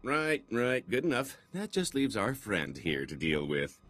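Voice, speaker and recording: A man playing a slippery, boastful middle-aged fugitive con artist in a video game, talking in theatrical, wheedling salesman patter with a husky voice. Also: medium pitch, sing-song, measured pace, a bit bright, full character voice. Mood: defiant, scheming.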